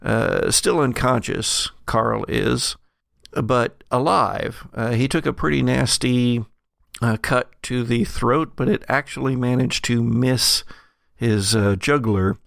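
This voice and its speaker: A man narrating an improvised tabletop game as game master, in halting phrases with drawn-out pauses. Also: mid-range voice, fairly even intonation, creaky, a bit bright, dry recording, clean studio sound.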